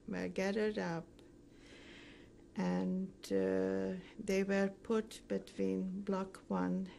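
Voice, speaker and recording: An older woman recounting her memories as a survivor in an oral history interview, speaking haltingly with frequent pauses mid-sentence. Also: medium pitch, gravelly, warm tone, slight background noise. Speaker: Holocaust survivor giving testimony.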